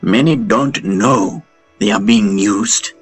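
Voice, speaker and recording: A solemn woman of dreams, voiced by a man narrator, guiding and cautioning in measured phrases. It is a fantasy audiobook part, with music underneath. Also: lowish voice. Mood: solemn warning. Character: dream sister, solemn female spiritual guide giving warnings.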